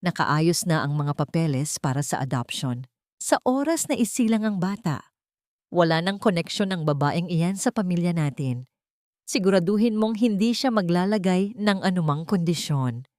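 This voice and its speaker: A woman's voice: on the low side, lively intonation, moderate pace, darkish tone, no room sound, lightly acted. Woman narrator as scheming rich mother, imperious, cold, Filipino accent, audio drama villain, dry sound. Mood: cold, commanding.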